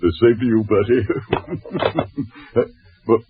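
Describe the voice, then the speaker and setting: A man's voice: lowish voice, fairly even intonation, unhurried, a little hiss or hum, natural voice. An actor playing a fussy, silly upper-class British military man in affected 1940s radio style. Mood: jolly, dithering. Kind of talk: 1940s radio drama acting. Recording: tinny old radio recording.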